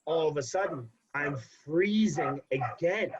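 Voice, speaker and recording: A young man in casual podcast talk, telling a long story with animated repeats for emphasis. His voice sounds congested. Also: a little high, measured pace, noisy background. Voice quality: husky, congested. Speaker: podcast guest. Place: home. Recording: dull lo-fi podcast audio.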